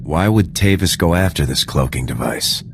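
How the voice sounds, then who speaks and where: An actor playing a dutiful, restrained military lieutenant in a video game, terse and earnest. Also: male voice, sits low, lively intonation, moderate pace, gravelly, slight background noise. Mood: respectful. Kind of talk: video game character acting.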